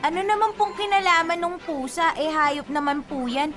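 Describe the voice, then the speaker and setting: Very high, innocent Filipino schoolgirl. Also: moderate pace, fairly bright tone, soft background music. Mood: innocent, questioning. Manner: polite, innocent, questioning. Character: cheerful, dutiful young schoolgirl granddaughter. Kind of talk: radio drama acting.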